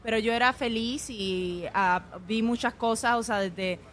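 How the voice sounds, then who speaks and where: A young woman, a chef from Puerto Rico with an accent mixed between Puerto Rican and Mexican Spanish, telling stories in a relaxed way with frequent fillers in a radio interview. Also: fairly high pitch, measured pace, a little hiss or hum. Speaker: guest chef.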